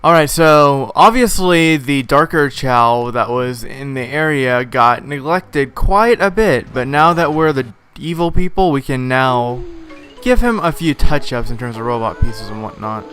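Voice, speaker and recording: A slightly hoarse man giving casual, rambling commentary over a video game playthrough, with frequent filler words. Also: mid-range voice, expressive, measured pace, hardly any pauses, a bit bright. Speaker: let's play host. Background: game music.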